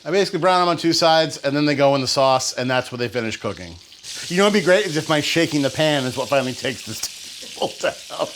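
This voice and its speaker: A man's voice: on the high side, animated, quick pace, noisy background. A man hosting a home cooking show, talking casually and rambling into playful, joking asides. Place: home kitchen.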